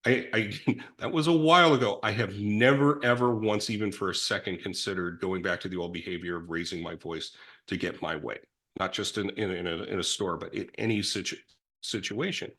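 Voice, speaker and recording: Rambling middle-aged man, lowish voice. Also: a little fast. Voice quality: big loud voice. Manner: rambling, many restarts, self-deprecating. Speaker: guest in recovery. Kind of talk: reflective conversational storytelling.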